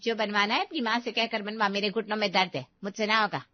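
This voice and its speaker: A woman's voice: mid-range voice, fairly even intonation, fast, highs cut off, natural voice. A woman voicing a spiteful old village grandmother in a story, scolding and sarcastic. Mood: grumpy, dismissive.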